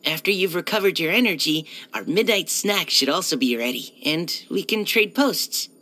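A woman's voice: lowish voice, lively intonation, moderate pace. A woman voicing a polite, earnest young male military surveyor in a video game, with a hesitant, helpful manner. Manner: hesitant, soft-spoken politeness, eager to help. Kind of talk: video game character voice-over.

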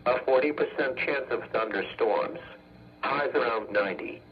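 A synthetic male text-to-speech voice reading an automated weather bulletin as a radio announcer, in measured, formulaic segments. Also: mid-range voice, lively intonation. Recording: muffled weather radio broadcast.

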